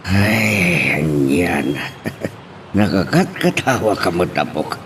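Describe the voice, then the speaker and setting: An actor playing an older, gently teasing and pious grandfather who encourages the young in a serial drama. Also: low voice, animated, slow pace, full character voice. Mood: amused, surprised. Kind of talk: radio-style serial drama acting. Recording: distant mic with faint noise.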